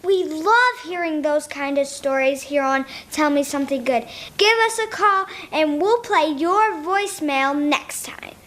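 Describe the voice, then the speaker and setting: A woman's voice: high-pitched, expressive, unhurried, hardly any pauses, a bit bright. A female performer playing a chipper young girl who co-hosts a kids' podcast in a radio play. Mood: cheerful. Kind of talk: scripted radio play acting.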